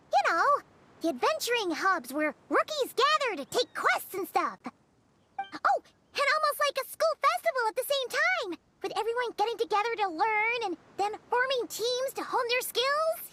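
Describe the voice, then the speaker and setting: A tiny fairy companion from a video game, pipes up in an extremely high, childlike voice. The delivery swoops and bubbles with excitement. Music plays behind the lines. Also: female voice, heavily acted. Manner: refers to self in third person, bubbly, exclamatory. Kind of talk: scripted video game dialogue. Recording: music bed under the voice.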